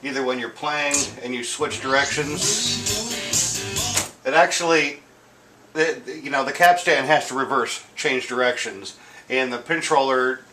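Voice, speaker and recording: Man, casual demo talk, rambling explanations, mid-range pitch, roomy echo, self-correcting. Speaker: vintage audio gear seller demonstrating a tape deck. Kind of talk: casual product demonstration. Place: indoor room at home, beside stereo gear. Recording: roomy echo with noticeable background noise.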